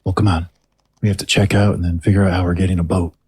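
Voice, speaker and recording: A man's voice: low-pitched, flat delivery, fast talker, a little mellow, slightly rough, no echo. An actor playing a wry, easygoing young male adventurer in an audio drama, speaking casually. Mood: resolved.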